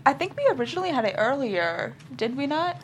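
A woman's voice: high voice, very animated, fast, a little hiss or hum. A woman speaking casually as a writer being interviewed. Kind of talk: casual chat.

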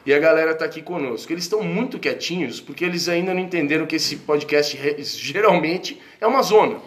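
A man co-hosting a casual group podcast, upbeat and jokey, introducing guests. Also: a little high. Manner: upbeat, jokey, quick to laugh. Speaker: podcast co-host.

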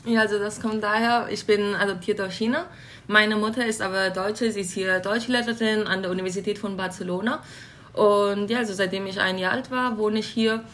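A woman in her twenties, a guest on an interview podcast, speaking at her home in a relaxed way, laughing lightly, with some fillers. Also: medium pitch, a little fast. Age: 20s. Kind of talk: casual interview chat. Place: her home in a city flat.

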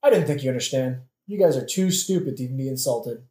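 A man's voice: mid-range voice, expressive, fast, mellow, lightly acted. A man playing an insolent young prince with a mocking, profane, sardonic manner in a satirical audio play. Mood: scornful. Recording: echoey room.

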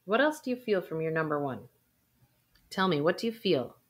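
A woman coaching viewers through a guided intuition exercise, with soft sighs and a calm, unhurried delivery. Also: on the low side, slightly rough. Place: indoors, recording a video.

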